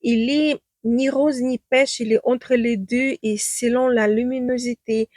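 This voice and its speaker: A woman who is not a native speaker talks through her favourite makeup in a casual vlog. Her voice sits fairly high and she speaks slowly, pausing on fillers and restarting phrases. The sound is dry and close. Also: fairly even intonation, a little mellow, no room sound. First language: not French. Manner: hesitant, many fillers and restarts; enthusiastic superlatives. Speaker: beauty vlogger. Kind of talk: casual makeup review to camera. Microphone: own microphone, at times off.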